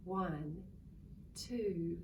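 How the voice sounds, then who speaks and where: A woman reading a picture book aloud to young children in a playful, expressive way, drawing out words and acting out sounds. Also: lowish voice, very slow. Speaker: children's story reader. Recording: distant mic with faint background noise.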